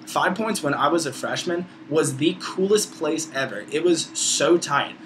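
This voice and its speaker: Male, about 20, American accent, informal college vlogger, opinionated and profane, bright forceful voice, slightly noisy recording. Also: a little high, lively intonation, a little slow. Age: around 20. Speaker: video blogger, college student. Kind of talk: casual opinionated vlog monologue.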